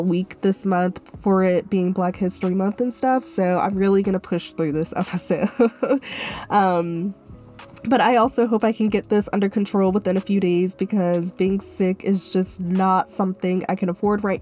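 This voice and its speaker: Young woman, solo podcast host, stuffy and sick, mellow tone, earnest and chatty, soft music beneath. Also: mid-range voice, steady tone, moderate pace. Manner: earnest, filler-heavy casual talk. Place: Florida.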